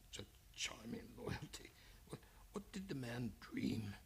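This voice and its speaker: An actor playing a nervous, genteel, condescending senior psychiatrist who stammers and hesitates in a creaky voice, in old-time radio style. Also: male voice, medium pitch, sing-song, slow, in a whisper, exaggerated voice. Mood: uneasy, patronizing. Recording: studio radio play with music behind.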